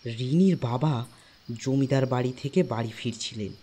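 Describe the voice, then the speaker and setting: A man narrating a scripted ghost story in a deliberate, dramatic storytelling manner. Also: mid-range voice, mellow, breathy edge.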